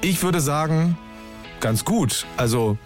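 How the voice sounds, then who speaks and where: A man's voice: a little high, animated, slow pace, faint music behind. A man hosting a documentary podcast, telling the story in scripted narration and laying out questions one by one.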